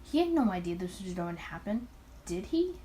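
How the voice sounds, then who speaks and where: A woman's voice: medium pitch, big pitch swings, brisk, warm tone, slight background noise, close to an everyday voice. A woman voicing an earnest male detective in a visual novel, fretful and bewildered in his thoughts. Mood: anxious.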